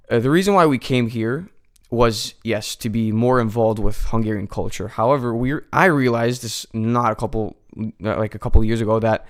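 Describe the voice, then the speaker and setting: A nineteen-year-old man from the United States, a guest chatting casually in a radio studio, with lots of fillers and restarts. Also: medium pitch. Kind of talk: casual interview chat.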